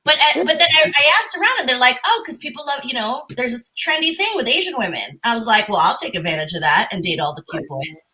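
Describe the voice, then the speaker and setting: A woman from Mexico speaks as a panelist on a casual talk show. Her voice is a little high and clearly bright as she tells personal stories. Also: lively intonation, fast talker, few pauses, rough recording. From Mexico, born in the Philippines. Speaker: podcast panelist.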